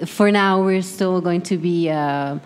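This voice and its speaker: Woman, musician guest, low-ish voice, easygoing, hesitant. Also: slow pace, clean tone. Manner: easygoing, laughs often, hesitant. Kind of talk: casual interview chat.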